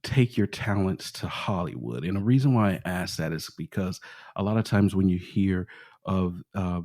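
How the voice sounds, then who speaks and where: A man's voice: sits low, expressive, moderate pace, a little mellow, no room sound, studio quality. A man hosting a podcast, asking long, winding questions he explains at length. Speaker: podcast host. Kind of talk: interview questions.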